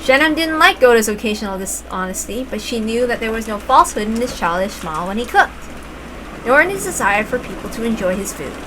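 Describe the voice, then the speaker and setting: A woman's voice: on the high side, big pitch swings, fairly quick. A woman narrating a story aloud as a reader, expressively and with informal asides. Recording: clear background noise, like rain.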